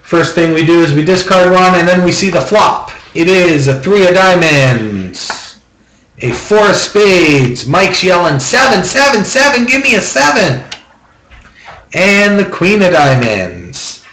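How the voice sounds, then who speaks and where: A man with a slightly high voice hosts a card draw at a tabletop. He takes his time, announcing each step in a methodical, repetitive pattern. The small room around him adds an audible echo. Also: lively intonation, takes it slowly, fairly bright tone, a little hiss or hum. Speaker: host of a trading-card box-break auction. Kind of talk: running card-deal commentary. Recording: roomy echo.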